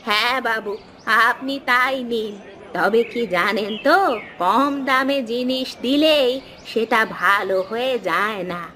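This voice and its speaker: A woman voicing a kindly, humble elderly mother and market seller in a cartoon, with a gentle, patient, drawn-out way of talking. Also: on the high side, a little hiss or hum. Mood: patient, firm. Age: older. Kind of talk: Bengali cartoon voice acting.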